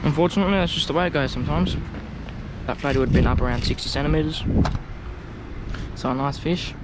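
Aussie guy chatting casually while he fishes, matey slang, loud outdoor noise all round him. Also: fairly high pitch, fast talker. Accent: Australian. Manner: laid-back, slangy, matey. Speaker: angler filming a fishing vlog. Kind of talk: casual commentary while fishing. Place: outdoors on a harbour waterfront walkway. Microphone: action camera worn on head or chest.

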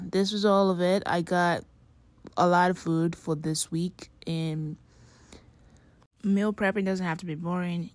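A woman narrating her cooking video in a relaxed, chatty way, with frequent fillers. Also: lowish voice. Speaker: vlogger.

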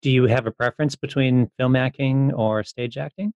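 A man's voice: mid-range voice, fairly even intonation, moderate pace, a little mellow, clean tone, dry recording. A man hosting a podcast, asking questions in a casual interview style. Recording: dull, dry lo-fi audio.

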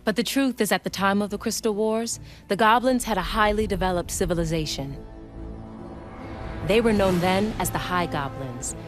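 A poised young woman of a warrior monk order, played by an actress in an animated game. She speaks earnestly and articulately, like a composed storyteller. Her pitch is average, with clear music playing behind her. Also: moderate pace, lightly acted. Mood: poised, polite. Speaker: youth leader of monks. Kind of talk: animated game cutscene acting and narration.

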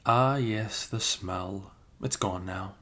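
A man doing an improvised ASMR roleplay as a best friend, soft-spoken and hesitant, slipping into whispers, close to the microphone. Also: sits low, slow.

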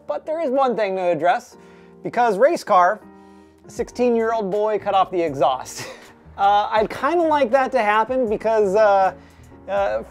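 A man in his thirties vlogging about an old car from behind the wheel, with dry, self-deprecating humor. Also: high-pitched. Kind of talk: casual vlog commentary. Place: inside a moving car.

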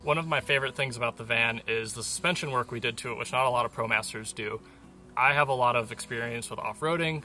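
A man's voice: mid-range voice, pushed and bright. A young man with a North American accent giving a casual tour to camera as the owner of a van. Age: young adult. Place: in and around a camper van in the woods.

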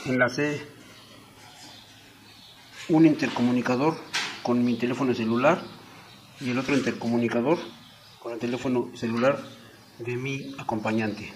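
Deliberate man giving a hands-on tutorial. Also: mid-range voice, a little hiss or hum, low-quality recording. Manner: deliberate, step-by-step explaining in short phrases. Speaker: product demonstrator, host. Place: small indoor room at home.

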